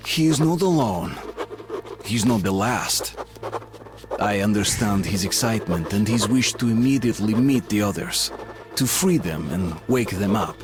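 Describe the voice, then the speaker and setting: An actor playing an earnest, hopeful male survivor in a video game, explaining things in a measured way. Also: fairly low pitch, expressive. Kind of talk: dramatic video game acting. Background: music.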